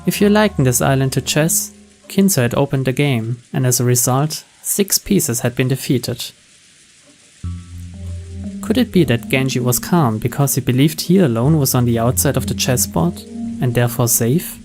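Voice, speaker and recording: A man's voice: mid-range voice, expressive, moderate pace, a little mellow. Man narrating over music and rain. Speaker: narrator reading game text aloud. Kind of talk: scripted story narration.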